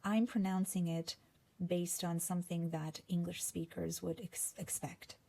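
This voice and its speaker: A woman with a Hungarian accent talks through a lesson at an unhurried pace, sinking now and then into a whisper on the words she stresses. Her voice is mellow and dark, with a gravelly fry running underneath. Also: lowish voice, level tone. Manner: slow and deliberate, drops to whispers on key words. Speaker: language teacher. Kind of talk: explanatory lesson reading scripture.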